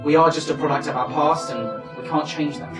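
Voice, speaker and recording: A man's voice: on the high side, brisk, lightly acted. Guy acting as earnest young man in a stage play, defiant, roomy far away sound. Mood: calm, reassuring. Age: young adult. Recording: echoey theatre stage, distant camera, music.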